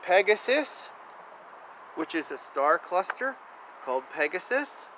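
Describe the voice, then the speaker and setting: A man's voice: high voice, very animated, slow, sharp tone, low-quality recording. A man reading a picture book aloud to young children, playful and chatty, with exclamations and asides. He stretches words for emphasis. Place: outdoors. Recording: outdoor ambient noise, faint. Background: faint outdoor ambience.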